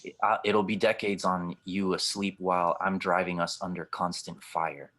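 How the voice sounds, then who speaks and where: A man's voice: sits low, level tone, normal speed, a bit bright. A man playing a blunt, protective, reassuring starship pilot in an audio drama table read. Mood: grave, blunt. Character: gruff, steady older male starship pilot, protective of his companion.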